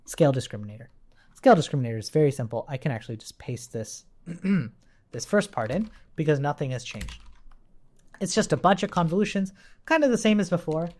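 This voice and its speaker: A woman's voice: sits low, a little mellow. A woman giving an informal live coding tutorial, explaining step by step as an instructor.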